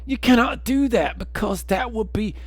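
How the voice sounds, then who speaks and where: A man voicing an eager, excitable young bird sidekick in a video game, chirpy and exclamatory. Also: mid-range voice, moderate pace, faint music behind, pitched above the performer's own voice, natural voice. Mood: blustering.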